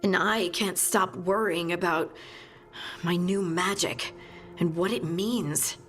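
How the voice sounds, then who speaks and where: A woman's voice: on the low side, fairly even intonation, slow, a bit bright, soft background music. An actress playing a practical female scout in a fantasy video game. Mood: worried. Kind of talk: video game dialogue acting.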